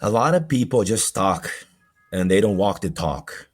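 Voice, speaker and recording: Male podcast guest, forties, deep voice, halting personal storyteller. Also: big pitch swings, faint music behind. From Colombia. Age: 40s.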